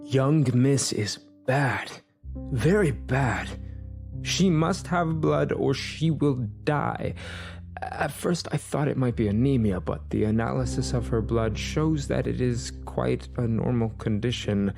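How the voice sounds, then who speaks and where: An actor playing a grave older foreign professor with a gravelly voice and halting, ominous, stilted phrasing. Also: male voice, medium pitch, a little mellow, soft background music. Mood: grim, puzzled.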